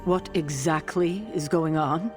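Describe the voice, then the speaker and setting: An actress playing a stern, composed sorceress with dry, pointed wit in a video game. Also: female voice, sits low, measured pace, darkish tone, in a large hall, faint music behind. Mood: stern, suspicious. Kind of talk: video game cutscene acting.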